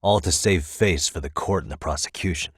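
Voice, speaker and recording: A male actor voicing a composed, determined private detective in a video game, with a measured, probing delivery. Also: sits low, breathy edge, dry recording, clean studio sound. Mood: focused, determined. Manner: measured, probing, matter-of-fact. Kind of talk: English video game dialogue dub. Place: dry recording studio.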